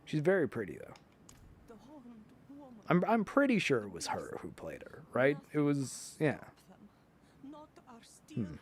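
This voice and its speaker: A man's voice: on the high side, unhurried, pauses often. A man hosting a livestream, chatting casually in a rambling, joking way and sometimes trailing off into muttered asides. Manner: animated, joking, often breaking into chuckles. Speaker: livestream host. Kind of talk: casual rambling chat to stream viewers.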